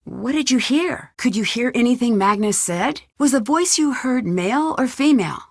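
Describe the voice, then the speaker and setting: Composed, inquisitive young woman sleuth for a mystery game: slightly high voice, dry close recording. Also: animated, moderate pace, no echo. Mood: calm, earnest. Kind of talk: video game voice acting.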